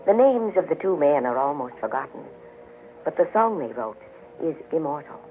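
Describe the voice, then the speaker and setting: A man telling a story in solemn 1940s radio narration, with reverent, measured, formal phrasing. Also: a little high, big pitch swings, background noise. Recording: tinny 1940s radio broadcast with music behind. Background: music.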